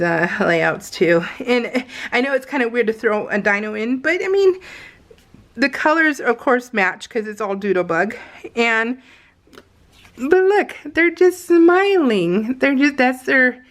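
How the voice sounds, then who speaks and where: A woman's voice: a little high, a bit bright. A middle-aged woman chatting casually over a craft video, gushing and slangy, telling stories with plenty of exclamations. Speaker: craft vlogger.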